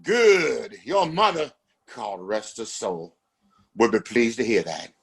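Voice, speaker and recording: Male actor as domineering Western patriarch for audio play: self-righteous, formal, very animated, husky. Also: medium pitch, takes it slowly, exaggerated voice. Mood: smug, self-satisfied. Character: pompous, domineering father who runs a Western town. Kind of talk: Western audio drama acting.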